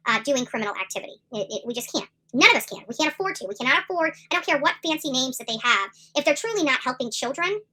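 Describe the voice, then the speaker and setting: Middle-aged woman, live commentary host, quick and bright, emphatic and rambling with lots of fillers. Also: high voice, low-quality recording. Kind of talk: casual livestream commentary.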